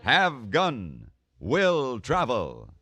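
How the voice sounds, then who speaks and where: A man's voice: medium pitch, huge pitch swings, very slow. A man reading scripted credits as a 1950s radio announcer. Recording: vintage radio recording with music.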